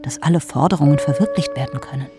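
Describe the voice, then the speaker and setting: A woman narrating a scripted essay in a measured, matter-of-fact way. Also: low voice, wildly swooping pitch, music in the background.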